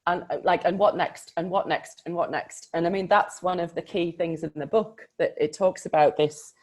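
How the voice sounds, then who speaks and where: A woman's voice: fairly low pitch, normal speed, a little mellow. A woman coach in a casual conversation about a book, speaking reflectively and with emphasis, often laughing.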